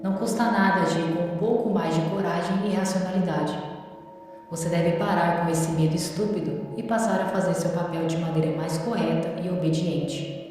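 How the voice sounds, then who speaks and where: A woman's voice: on the low side, fairly even intonation, normal speed, very echoey, long reverb tail, recorded from a distance, natural voice. A woman voicing a stern, menacing male creature of authority that lectures in a cold, threatening way, with music underneath. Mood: scolding. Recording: music bed behind voice.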